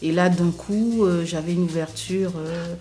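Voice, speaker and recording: A woman from French Guiana giving a personal testimony in an interview, speaking in a hesitant, reflective way with frequent pauses, fillers and restarts. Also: fairly low pitch, fairly even intonation, dark tone, faint music behind.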